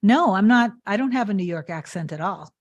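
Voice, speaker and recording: A woman's voice: mid-range voice, lively intonation, brisk, dull audio. A woman coaching on a live stream, answering chat comments in an earnest, emphatic way and often repeating herself. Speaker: manifestation coach.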